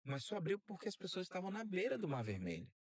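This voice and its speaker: A man hosting a podcast interview, talking in a lively way and drifting into preacherly asides. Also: sits high, fast. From Brazil. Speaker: podcast host. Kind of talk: casual interview.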